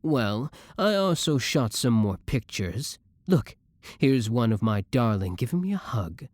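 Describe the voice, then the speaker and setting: Animated, jealous young boyfriend played by a man. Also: mid-range voice, unhurried, no room sound, clean studio sound. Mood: indignant, boastful. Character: jealous, menacing young boyfriend, obsessively devoted, bickering with rival. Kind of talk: scripted romantic audio roleplay.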